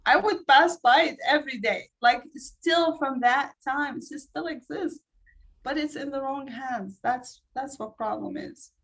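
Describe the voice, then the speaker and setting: High-voiced Iraqi woman telling personal stories. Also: a little slow, gravelly, a bit bright, low-quality recording. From Iraq. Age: 30s. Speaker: interview guest. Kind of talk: personal storytelling in conversation.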